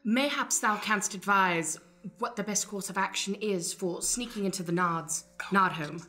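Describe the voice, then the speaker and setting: A woman's voice: medium pitch, a little slow, bright, forceful tone. A woman playing a bossy, theatrical seer and plotter in an improvised, mock-grand radio play. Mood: urgent.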